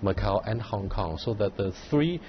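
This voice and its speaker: A man hosting a studio panel, posing long questions with measured pacing and frequent hesitations. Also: fairly low pitch, lively intonation, dull audio, a little hiss or hum. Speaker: host.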